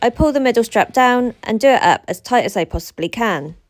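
A woman in her thirties, a truck driver, narrating her work in a steady voice-over, explaining each step. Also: a little high, a little fast, no room sound.

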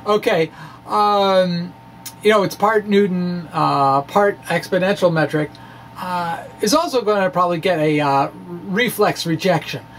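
A man's voice: sits high, measured pace, a bit bright, a little hiss or hum. A middle-aged man reading a science blog aloud with informal asides, in a quirky, theatrical, jokey and folksy manner. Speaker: science video blogger. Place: small indoor room with bookshelves. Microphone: microphone on a stand in front of him.

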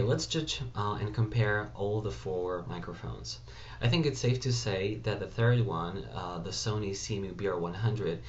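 Lowish-voiced young man reviewing tech. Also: lo-fi sound, slight background noise. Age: young adult. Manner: plain, measured, frequent "uh" fillers. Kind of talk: casual spoken product review. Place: small home room at a desk. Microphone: built-in computer webcam mic.

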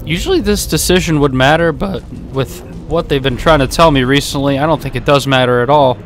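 Higher-voiced young man joking over gameplay. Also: fairly quick, music in the background. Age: 20s. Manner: joking, sarcastic. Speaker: video game streamer. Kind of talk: casual gameplay commentary. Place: small room at home. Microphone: close desk microphone with headset.

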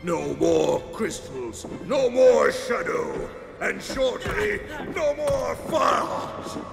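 A giant cartoon demon gloats and rages theatrically, swooping wildly from menace to roar. His voice is gravelly and rings through a vast hall, with loud music behind. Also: high-pitched, very animated, drawn-out words, heavily acted. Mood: gloating. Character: gloating, raging giant male demon villain. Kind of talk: cartoon villain acting.

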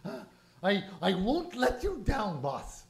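A woman's voice: mid-range voice, wildly swooping pitch, slow pace, gravelly, echoey room, exaggerated voice. A woman playing a nervous, eager angel in training, in an amateur radio play, stammering.

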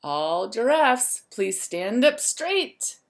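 A woman's voice: a little high, big pitch swings, extremely slow. A woman in her thirties, a teacher reading a picture book aloud to young children in a sing-song way, speaking to them directly.

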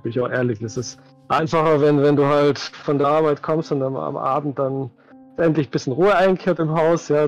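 A middle-aged man talks casually in a gravelly, medium-pitched voice. He sounds slightly dull, as on an online call. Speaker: guest game master. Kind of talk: casual chat. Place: indoor room, on a video call. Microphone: headset on a video call. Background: background music.

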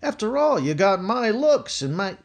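A man's voice: high-pitched, sing-song, moderate pace. An actor playing a blustering, easily flustered family man in old radio comedy style, stammering and exclaiming. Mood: exasperated. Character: blustering, bashful, flustered husband and father, comic everyman. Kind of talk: 1940s-50s radio sitcom acting. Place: indoors at home on a video call.

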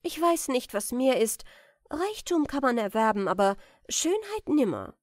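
A vain young bride from a storybook, acted out by a woman reader. She speaks high and animated, haughty and mercenary, in a dry recording. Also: measured pace, dry recording. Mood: smug, calculating. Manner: self-satisfied, haughty.

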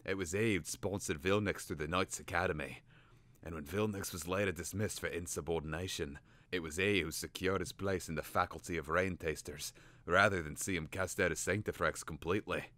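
A man's voice: sits low, steady tone, a little fast, pushed and bright, no room sound. A man voicing a haughty, irascible talking bird in a storybook, indignant and grandiloquent in manner. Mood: grand storytelling.

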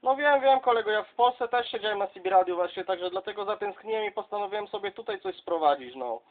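A man's voice: high-pitched, expressive, fast. A man from southern Poland making casual, chummy contact as a CB radio operator, addressing others as colleagues. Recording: dull, lo-fi sound.

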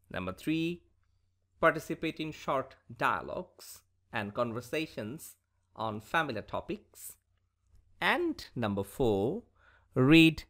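Slow guy teaching to kids, voice goes up and down a lot. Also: fairly high pitch, fairly bright tone. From Bangladesh. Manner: slow, deliberate teaching voice that reads dialogue aloud. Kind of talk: scripted English lesson for schoolchildren. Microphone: voice-over over slides.

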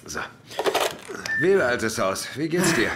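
Male easygoing, joking inspector in a radio play; mid-pitched, noisy backdrop. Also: big pitch swings, slow pace. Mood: teasing, relaxed. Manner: joking, casual. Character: easygoing, teasing male police chief inspector. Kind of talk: naturalistic audio drama acting.

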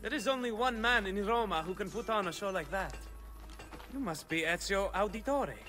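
An actor playing a cocky, cheeky young Renaissance gambler and painter's assistant in a video game. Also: male voice, high voice, lively intonation, moderate pace. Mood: impressed, cheeky. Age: young adult. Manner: cheeky, flippant, theatrical. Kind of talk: dramatic video game cutscene acting.

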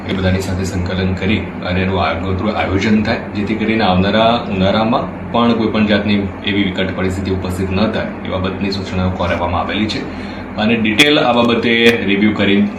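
A man gives an official statement in a very low voice. He is formal and bureaucratic, and halting as he speaks unscripted. The room is echoey, with clear background noise. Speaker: district collector. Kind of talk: official statement, spoken off the cuff.

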